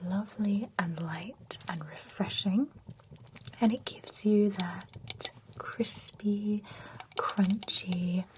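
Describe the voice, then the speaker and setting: A woman in a whispered ASMR roleplay, voicing a gossipy, envious female beautician at a spa for the undead, speaking in a soft unvoiced whisper into a close microphone. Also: mid-range voice. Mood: soothing. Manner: chatty and nosy.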